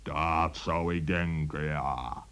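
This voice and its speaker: A man playing a huge, good-natured wizard giant in a children's radio play, talking in a deliberate, kindly way. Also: very deep voice, fairly even intonation, fairly bright tone, full character voice. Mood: drowsy, amiable.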